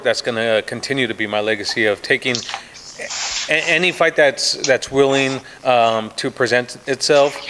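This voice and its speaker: A man's voice: mid-range voice, bright, forceful tone, slight background noise, rough recording. A man, a pro fighter, answering reporters at a press day, confident and full of restarts and "you know"s. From United States. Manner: confident, trash-talking, many restarts and fillers.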